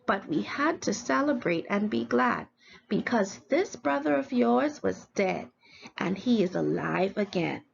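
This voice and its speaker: A woman's voice: mid-range voice, lively intonation, unhurried, vocal fry. A woman voicing a loving, forgiving father in a storybook parable, tender and emphatic. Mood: gentle, reassuring. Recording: dull lo-fi recording, faint background noise.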